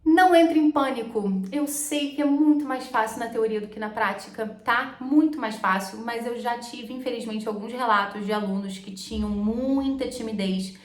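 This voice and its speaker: Echoey, high-voiced Brazilian woman teaching. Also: moderate pace, few pauses. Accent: Brazilian Portuguese. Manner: animated, checks in with listeners. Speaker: English teacher. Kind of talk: explaining to camera. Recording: echoey room.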